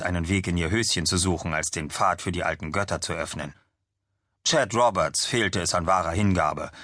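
A man's voice: low voice, pushed and bright, no room sound, studio quality. A man reading a fantasy novel aloud as an audiobook narrator.

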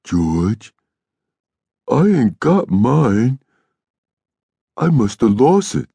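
A man voicing a big, childlike, simple-minded man in an audiobook, with slow, halting, naive rural American speech. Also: mid-range voice, very animated, creaky, warm tone, slightly rough, dry recording, voice pushed up, heavily acted. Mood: worried, sheepish.

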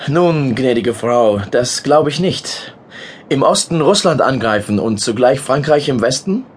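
An actor playing a polite, talkative war correspondent, hesitating, apologizing and slipping into English words in an audio drama. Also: male voice, medium pitch, expressive, measured pace, slight background noise, natural voice. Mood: skeptical, grave.

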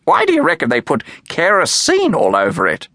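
A man voicing an excitable small female bird who squawks and chatters in a high, shrill voice. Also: brisk, dry recording, studio quality, full character voice. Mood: excited chatter.

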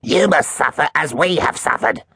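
A man voicing a mean little evil dinosaur inventor, hissing and raspy, sneering with drawn-out menace in a children's storybook. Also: fairly high pitch, bright, forceful tone, no room sound, studio quality, voice pushed up, heavily acted. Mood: vengeful menace.

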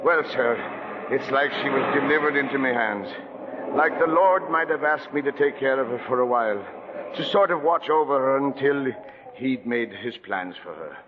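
An actor playing a gruff but tender old lighthouse keeper in a radio drama, speaking affectionately in folksy seafaring dialect. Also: male voice, medium pitch, animated, moderate pace, background noise, close to an everyday voice. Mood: earnest, humble. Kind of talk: 1940s radio acting. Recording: dull vintage radio broadcast, long reverb.

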